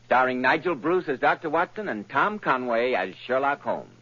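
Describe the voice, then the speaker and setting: A man's voice: expressive, moderate pace. A man announcing an old radio show and reading a persuasive commercial, from a dull old broadcast. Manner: smooth, persuasive sales pitch.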